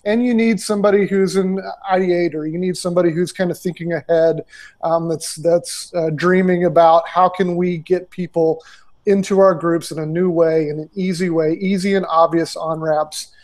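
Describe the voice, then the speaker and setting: A man in his forties speaks through earbuds on a video call from a small room. His voice sits a little high for a man. He answers in a loose, conversational way, full of fillers and restarts. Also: fairly even intonation, normal speed, a little mellow. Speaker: ministry consultant. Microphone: earbud headset on video call.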